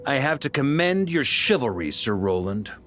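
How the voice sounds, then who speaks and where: An actor playing a bold veteran knight commander who speaks in a hearty, rousing way. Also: male voice, medium pitch, big pitch swings, normal speed, over music, lightly acted. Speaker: grandmaster of the knights. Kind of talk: game cutscene voice acting.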